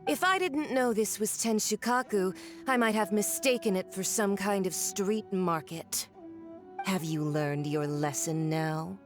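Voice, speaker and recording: A woman's voice: medium pitch, a little slow, music in the background, lightly acted. A woman voicing a haughty, cruel noblewoman villain who speaks in a cold, condescending, mocking way in a video game. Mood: disdainful. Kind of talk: video game cutscene acting.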